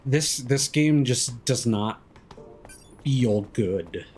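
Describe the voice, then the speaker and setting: A man's voice: medium pitch, animated, very slow, darkish tone. A man streaming a video game with casual, musing and mildly critical live commentary. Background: video game music.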